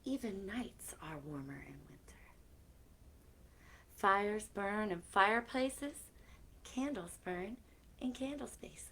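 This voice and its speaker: Slow-paced woman reading at children's story time. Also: mid-range voice. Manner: expressive, chatty asides between readings. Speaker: library story time host. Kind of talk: read-aloud picture book storytime for children. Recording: clearly noisy live-stream recording.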